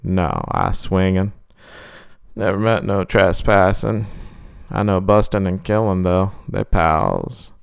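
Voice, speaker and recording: A man putting on a croaking creepy clown. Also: sing-song, slow pace, no room sound, studio quality, exaggerated voice. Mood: menacing. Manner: low croaking drawl, broken grammar. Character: creepy thin male clown, croaking, menacing.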